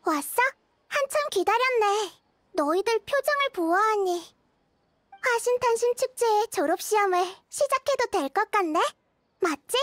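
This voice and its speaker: A woman playing a young, girlish goddess in a video game, gentle and wise, questioning like a playful teacher. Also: very high voice, expressive, a little slow, no echo. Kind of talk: video game character dialogue. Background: game music.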